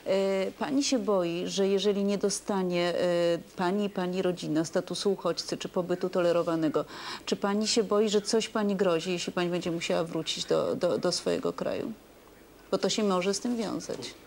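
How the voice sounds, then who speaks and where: A woman's voice: lowish voice, normal speed, gravelly, darkish tone, slight background noise. A woman in her thirties hosting a TV studio discussion, putting direct questions to her guests. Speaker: host.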